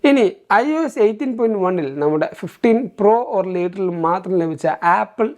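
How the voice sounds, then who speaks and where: A man's voice: sits high, lively intonation, normal speed. A man with a Malayali accent, hosting a tech walkthrough in an explanatory, step-by-step way and mixing in English terms. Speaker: tech reviewer, host. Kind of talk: scripted-feeling tech tutorial narration.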